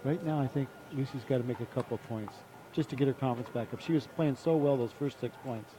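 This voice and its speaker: Male courtside commentator, excitable and chatty, mid pitch, light hiss. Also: fast. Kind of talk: live sports commentary.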